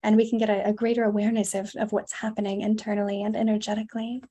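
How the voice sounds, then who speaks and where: A woman's voice: mid-range voice, level tone, rapid-fire, warm tone, husky, lo-fi sound. A woman in an interview, talking in a flowing, emphatic way, often restarting words and adding "like". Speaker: guest, women's embodiment teacher. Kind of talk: conversational interview.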